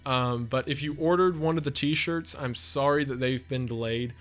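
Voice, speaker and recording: A man's voice: mid-range voice, moderate pace, fairly bright tone. A man giving casual off-the-cuff video commentary, chatty, effusive and enthusiastic as a video creator. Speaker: video essay creator and narrator.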